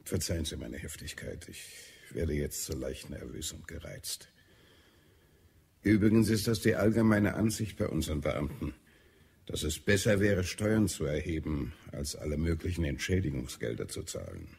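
An actor playing an irritable, weary Martian health adviser in an audio drama, speaking gravely. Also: male voice, a bass voice, animated, darkish tone, exaggerated voice. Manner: grave, edgy, deliberate. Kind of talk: German audio drama acting.

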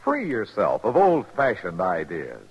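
A man's voice: lowish voice, wildly swooping pitch, a little slow. An American man announcing a 1950s radio commercial in punchy, emphatic slogans. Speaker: advertisement announcer. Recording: muffled 1950s radio recording. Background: prominent jingle music.

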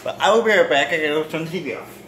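A man in his twenties casually demonstrating home cooking to camera in a halting, rambling, self-deprecating way. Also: on the high side, a bit bright. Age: 20s. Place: small home kitchen and dining room. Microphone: handheld or propped phone near arm's length. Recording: roomy echo, kitchen noise with sizzling.

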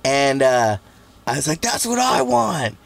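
A man's voice: fairly high pitch, big pitch swings, a little slow, vocal fry. A man in his late forties, a podcast guest, telling stories in a loose, rambling way with lots of fillers, at a studio mic. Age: 40s. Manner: laid-back, rambling storyteller with lots of fillers. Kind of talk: casual chat. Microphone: studio mic on boom.

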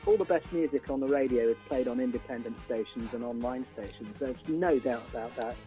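A man's voice: medium pitch, a little fast, warm tone, soft background music, poor audio. An older man, a band musician, talking casually as a radio interview guest, opinionated and repeating words as he builds his point.

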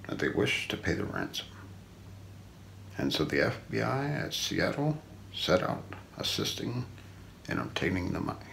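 Man, middle-aged, ASMR mystery narrator, solemn, low-pitched, faint background noise. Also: gravelly, a bit bright, low-quality recording. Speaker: narrator of an unsolved-mystery story. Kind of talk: ASMR scripted narration, true-crime documentary role play. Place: small indoor room.

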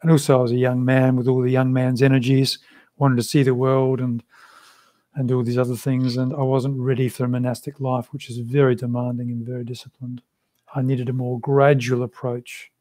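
A man, answering interview questions in a measured, thoughtful way over a video call from a small room. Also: medium pitch, warm tone, dry recording. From Australia. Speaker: interview guest, Buddhist practitioner. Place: small room with a shrine, indoors.

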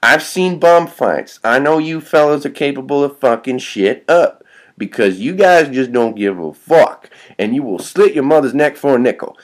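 A man's voice: mid-range voice, animated, normal speed, voice pushed up, close to an everyday voice. A man voicing a cocky, aggressive teenage gang recruiter who swaggers and swears in a threatening way. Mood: cocky, intense.

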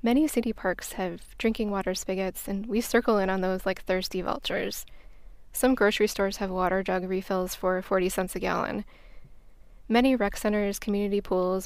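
A woman's voice: medium pitch, dry recording. An American woman hosting a podcast, reading a scripted travel story as narration. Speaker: podcast host.

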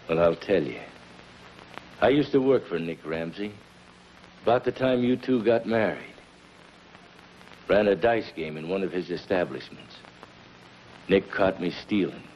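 An actor playing a loyal, weary middle-aged henchman, gruff and slangy, in a 1950s television crime melodrama. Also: sits low. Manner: gruff, plain-spoken, slangy. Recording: muffled, noisy 1950s television soundtrack.

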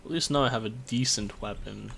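A man's voice: medium pitch, fairly quick, vocal fry, fairly bright tone, slight background noise. A young man casually commentating on a game from his bedroom, offhand and self-deprecating. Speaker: let's play commentator. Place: bedroom at home.

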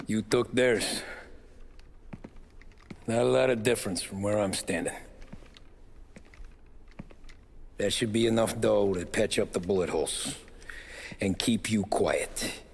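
An actor playing a cocky young American mobster from the 1930s in a crime drama game. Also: male voice, lowish voice, unhurried, creaky, pauses often, a little hiss or hum. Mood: cool, guarded. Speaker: video game character. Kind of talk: cinematic crime drama acting.

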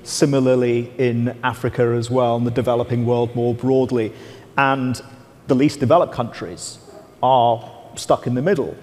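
A man's voice: medium pitch, steady tone, moderate pace, darkish tone. A man in his forties moderating a conference panel, posing questions in a formal manner. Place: large conference hall stage. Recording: reverberant conference hall.

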